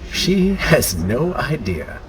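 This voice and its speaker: A sinister dark alter ego from a video game. He speaks with scornful mockery and expressive swings of pitch. Loud music plays under the voice. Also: slow pace, poor audio. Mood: coldly scornful. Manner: mocking, scornful. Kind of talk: video game cutscene acting.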